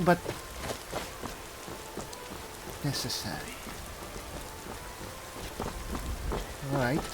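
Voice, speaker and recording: Man playing a slow-spoken, wry high elf spellsword. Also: a little hiss or hum. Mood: sardonic. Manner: dry, sardonic, halting, deliberate delivery. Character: male high elf spellsword mastermind, wry, reluctant leader. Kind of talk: in-character video game roleplay.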